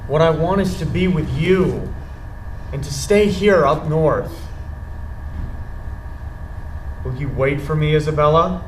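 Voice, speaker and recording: An actor on stage playing an earnest, wounded young working-class boyfriend, plain-spoken and intense. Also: male voice, on the high side, normal speed, natural voice. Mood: pleading, devoted. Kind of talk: live stage play acting. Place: indoor theatre stage, far from microphone. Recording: distant, echoey theatre recording with faint noise.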